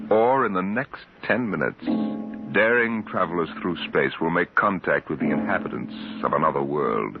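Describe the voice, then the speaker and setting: Portentous old-time radio announcer, male. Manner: booming, portentous. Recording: tinny old radio broadcast with clear hiss.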